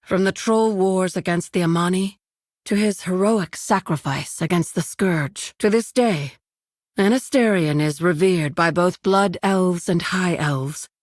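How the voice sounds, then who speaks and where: A dignified elf from a game, reverent n formal, lowish voice. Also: female voice, unhurried, no echo, studio quality. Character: dignified, reverent female high elf noble. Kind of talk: dramatic video game voice acting.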